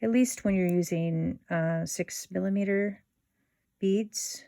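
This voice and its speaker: A woman hosting a casual step-by-step craft tutorial in an informal, unhurried way, thinking aloud as she works. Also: mid-range voice, creaky, warm tone, dry recording, studio quality.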